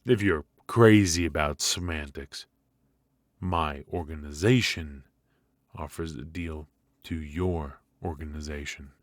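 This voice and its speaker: Man acting a very deep-voiced, smug mafia boss. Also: dry recording, clean studio sound. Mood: coolly threatening. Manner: smooth-talking, teasing, deliberate with drawn-out pauses. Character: smug, menacing male mafia boss, courteous and ruthless. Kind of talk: ASMR roleplay acting.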